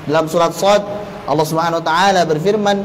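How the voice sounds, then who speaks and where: A man's voice: fairly high pitch, fairly even intonation, quick pace, slight background noise. An Indonesian man giving an instructional religious lecture as a teacher, explaining step by step with rhetorical questions. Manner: explanatory, poses rhetorical questions and answers them. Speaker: Islamic teacher giving a Quran recitation course. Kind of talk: instructional religious lecture with Arabic terms and quotations.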